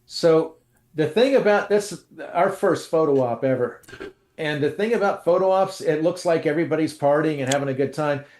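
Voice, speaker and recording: A man's voice: fairly high pitch, normal speed, darkish tone, reverberant. Chatty man rambling through stories. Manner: rambling, self-deprecating storytelling with many restarts. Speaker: co-host. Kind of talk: casual chat.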